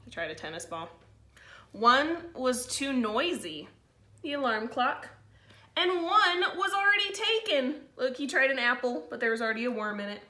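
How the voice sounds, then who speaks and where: A woman teacher reading a picture book to children in a slow, expressive way, with informal asides to her pupils. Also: high-pitched. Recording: roomy, echoey sound.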